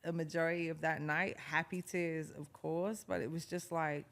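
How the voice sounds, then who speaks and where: A woman in her forties, a singer-songwriter being interviewed, telling stories in a lively way, acting out quotes and laughing often. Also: low voice, moderate pace. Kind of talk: casual interview storytelling.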